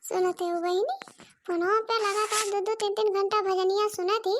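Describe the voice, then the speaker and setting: A woman's voice: extremely high pitch, little pitch movement, normal speed. A woman voicing an overworked, argumentative young village daughter-in-law in cartoon comedy, with an Awadhi accent. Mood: complaining. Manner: whiny, argumentative.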